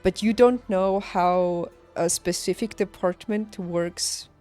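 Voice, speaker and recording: A young adult woman chatting casually on a livestream, telling personal stories in an emphatic, animated and informal way. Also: on the low side, slow pace. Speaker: livestreamer, former nurse. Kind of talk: casual stream chat, personal storytelling. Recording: background music behind close dry voice. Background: music.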